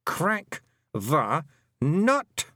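A man voicing a stubborn, quick-witted nine-year-old girl in a storybook, plain-spoken and defiant. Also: medium pitch, huge pitch swings, no room sound, studio quality, pitched above the performer's own voice. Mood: firm.

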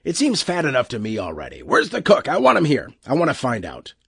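A man's voice: medium pitch, huge pitch swings, a little fast, vocal fry, a bit bright, slightly rough, no room sound, clean studio sound, full character voice. A man voicing a brash, callous spaceship captain in an audiobook, blunt and dismissive. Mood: cold, commanding.

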